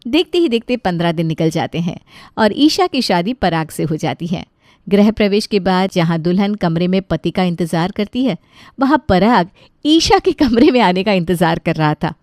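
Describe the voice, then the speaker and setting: A woman reading scripted storybook narration in an expressive, lightly wry way. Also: lowish voice, normal speed, no room sound, studio quality.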